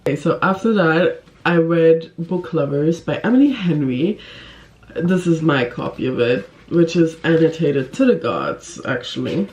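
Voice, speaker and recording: A woman's voice: low-pitched, lively intonation, a little slow, darkish tone. Informal, effusive woman book vlogger. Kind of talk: casual chat to camera.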